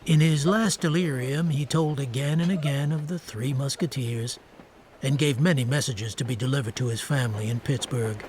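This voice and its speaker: A man narrating a radio drama from a literary script in a terse, deliberate, matter-of-fact way. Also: mid-range voice, a little mellow. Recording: faint ambient noise behind.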